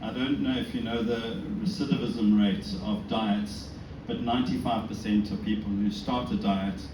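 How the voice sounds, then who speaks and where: A man's voice: fairly low pitch, fairly even intonation, normal speed. South African man speaking in an echoey room. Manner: self-deprecating, conversational, rhetorical questions. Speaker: speaker giving a talk at a synagogue. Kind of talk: informal religious talk to an audience. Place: indoors in a synagogue, before an audience. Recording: echoey room, distant mic, noisy background.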